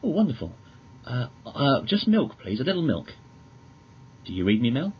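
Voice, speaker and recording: A man's voice: medium pitch, sing-song, moderate pace. A man chatting politely and a little hesitantly as an interview guest. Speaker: podcast guest, magazine editor. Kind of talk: polite casual interview chat. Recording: dull lo-fi recording, background noise.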